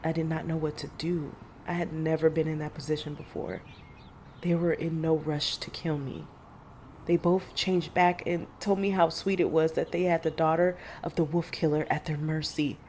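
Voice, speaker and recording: A woman performs a middle-aged monster hunter in an audio drama. Her voice sits low as she recounts horrors in a grave, measured way. Faint noise runs under the recording. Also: little pitch movement, a little mellow, close to an everyday voice. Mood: somber, tense.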